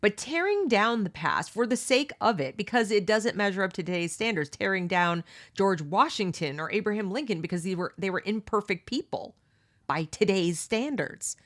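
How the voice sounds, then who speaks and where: A woman's voice: expressive, moderate pace, fairly bright tone. A middle-aged woman hosting a read-along book discussion, giving opinionated commentary and asking rhetorical questions. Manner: mocks others with high exaggerated voices.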